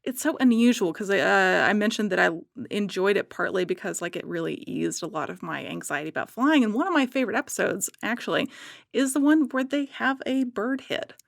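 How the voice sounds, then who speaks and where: Woman with lots of fry, dry studio-like sound, rambling excitedly as a podcast guest. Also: mid-range voice, fairly quick, no echo. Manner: enthusiastic, loosely rambling. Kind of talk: casual podcast conversation.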